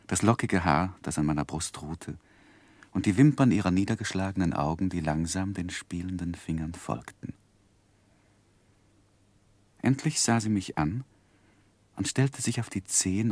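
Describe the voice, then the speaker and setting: An actor playing an earnest, tender gentleman who narrates his life in a gentle, reflective, expressive way in a 1960s German radio play. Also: deep voice, no echo. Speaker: first-person narrator and lead.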